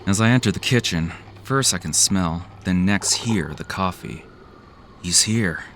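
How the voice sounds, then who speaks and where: A man's voice: sits low, measured pace, background noise. A man acting in a thriller audio drama as a wary, sardonic young man who narrates his own story. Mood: weary, wry.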